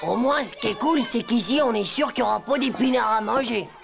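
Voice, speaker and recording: A woman's voice: fairly high pitch, a little fast. A woman voicing a cheeky, boastful, grumbling schoolboy in a French cartoon, with a scratchy voice and slangy delivery. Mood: uneasy. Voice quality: gravelly, scratchy. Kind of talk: French animated cartoon acting. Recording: loud music behind the voice.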